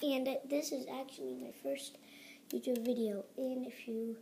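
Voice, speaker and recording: A woman who is sick and sounds raspy, hosting a casual home review vlog indoors, talking hesitantly with many restarts into a phone held close. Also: on the high side, normal speed, slight background noise. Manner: hesitant, restarts often, quiet and mumbly at times. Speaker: channel host. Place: indoor carpeted room at home.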